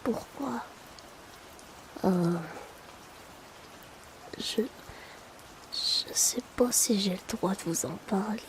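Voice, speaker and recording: A woman's voice: mid-range voice, extremely slow, pauses often, slight background noise. A woman playing a polite, chatty, discreet potion delivery assistant in a whispered ASMR roleplay, hesitant and confiding. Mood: discreet, concerned.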